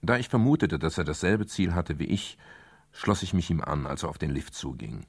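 An actor playing a wry, world-weary private detective with a measured way of speaking, in a 1970s German radio crime drama. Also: male voice, rumbling low, big pitch swings, slightly rough, heavily acted. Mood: reflective.

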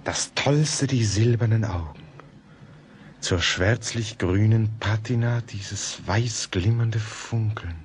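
A cultured visiting scholar in a radio play, courteous and inward. He speaks very slowly in a fairly low register, with careful restraint. Faint noise sits under the recording. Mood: uneasy, pensive. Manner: restrained, polite, pensive. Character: reserved, cultured Parisian gentleman scholar visiting, uneasily fascinated. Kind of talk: German radio play acting.

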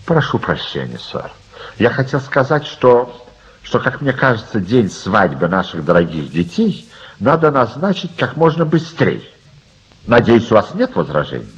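An actor playing a touchy older gentleman in a radio drama, formal and fussy, stammering when flustered. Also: mid-range voice, huge pitch swings, moderate pace, exaggerated voice. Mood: stiffly polite. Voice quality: husky. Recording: dull, muffled radio drama recording.

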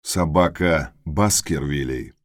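A man's voice: very deep voice, slow, no room sound, studio quality. A man reading in a scripted audiobook announcement style. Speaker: title announcer.

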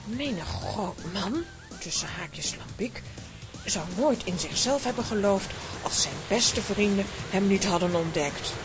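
A woman playing a fond but quick-tempered aunt in a children's audio play, theatrical in manner. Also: medium pitch, lively intonation, over music, lightly acted. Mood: indignant, then tender.

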